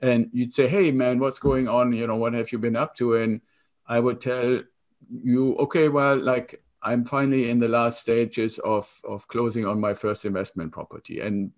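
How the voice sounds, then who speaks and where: A man in his fifties from Germany with a German accent, a guest recounting his career in a relaxed, reflective way. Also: medium pitch, normal speed. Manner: relaxed, reflective storytelling with frequent fillers. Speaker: guest, consultant and coach. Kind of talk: conversational interview.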